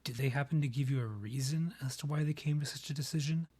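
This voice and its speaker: A man acts out a proud vampire king in a fantasy audio roleplay. The voice is breathy and husky at a middling pitch. The phrasing is formal and measured, with a courtly, theatrical polish. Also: dark tone, close to an everyday voice. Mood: earnest admiration. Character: proud, courtly male vampire monarch, rival and ex. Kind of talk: scripted roleplay audio, ASMR.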